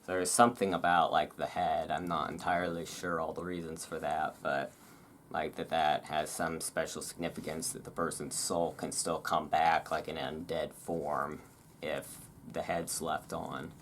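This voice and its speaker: A person reading aloud in a relaxed way with casual, rambling asides, halting and self-deprecating about it. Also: very deep voice, slight background noise. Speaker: reader and host. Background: thunderstorm ambience.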